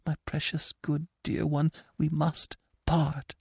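A distraught young woman, high and breathless, pleading in desperation, as a male reader puts her on. The voice swings widely as she begs, and the recording is dry and close. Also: lively intonation, takes it slowly, gravelly, a little mellow, slightly rough, no echo, exaggerated voice. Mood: tender pleading. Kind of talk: audiobook reading of a classic novel.

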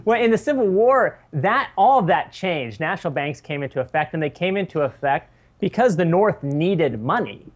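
A man's voice: on the high side, expressive, moderate pace. A man co-hosting a podcast over the phone, explaining in a casual way and often adding "right?". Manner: casual and explanatory, adds tag questions. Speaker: podcast co-host.

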